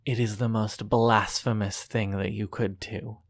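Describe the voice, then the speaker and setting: A narrator performing scripted horror fiction in a deliberate, dramatic manner, as a dying lover speaking in haunting reproach. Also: mid-range voice, level tone, no room sound, clean studio sound. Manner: slow, deliberate, dramatic, with pauses.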